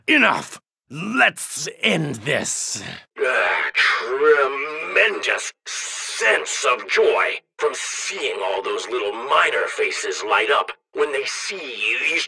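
A man playing a gruff, cunning, theatrical reptilian alien bounty hunter with a raspy, gravelly voice in a video game. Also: medium pitch, sharp tone, dry recording, exaggerated voice, low-quality recording. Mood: frantic, then cheery. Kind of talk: video game character acting.

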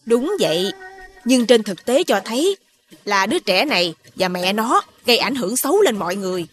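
A haughty village matron from a cartoon fairy tale. Her highish voice is imperious and accusing, with faint music underneath. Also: big pitch swings, normal speed. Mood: haughty, demanding. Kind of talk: animated folktale dubbing.

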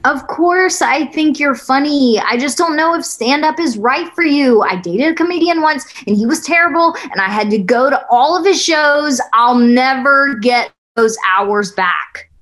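A woman acting an earnest girlfriend in her thirties, in a relationship drama. Her voice is fairly high and swings freely in pitch. She talks naturally and gets defensive, recorded over a video call. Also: lively intonation, unhurried, few pauses, fairly bright tone. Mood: animated, defensive. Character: earnest, defensive thirty-year-old girlfriend in a couple's spat. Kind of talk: naturalistic acted table read. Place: small plain room, on video call.

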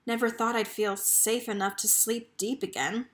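A woman reading a children's picture book aloud as narrator, with expressive storytelling. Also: fairly high pitch, normal speed.

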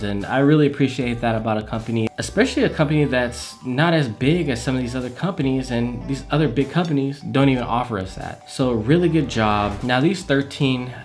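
Casual young man reviewing gear at home. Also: mid-range voice. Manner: relaxed, conversational, repeats words for emphasis. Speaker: tech reviewer. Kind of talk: casual product review to camera. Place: small home room with desk and monitors.

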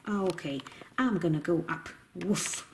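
Woman as a stubborn little toy doggy, lower-voiced. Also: measured pace, a little hiss or hum. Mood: stubborn, defiant. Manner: defiant, barking woofs. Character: naughty, stubborn toy doggy who later turns nice. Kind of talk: children's toy pretend play.

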